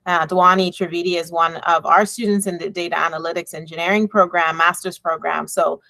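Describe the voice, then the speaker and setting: A woman's voice: lowish voice, level tone, creaky, sharp tone, no echo. A middle-aged woman from the Bahamas, an engineering professor and department chair, giving a reflective conference talk over a video call from her office, with frequent ums and you knows. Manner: reflective, measured, many fillers like um and you know. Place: office with bookshelves and window. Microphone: video call, laptop webcam.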